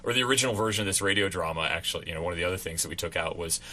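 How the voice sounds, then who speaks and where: A man's voice: low-pitched, very fast, bright, forceful tone. A man, a radio drama performer, explaining in a rambling, filler-heavy casual chat.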